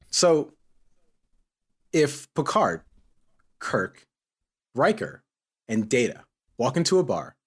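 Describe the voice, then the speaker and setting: A man's voice: medium pitch, breathy edge. A man hosting a casual group chat, teasing and bantering playfully with his co-hosts. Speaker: podcast host.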